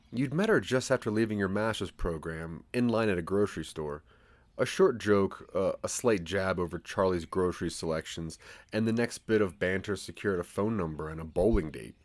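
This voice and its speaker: Guy narrating a story podcast, lowish voice, lively dramatic delivery, really dry close sound. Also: no echo. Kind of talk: scripted second-person audio drama narration.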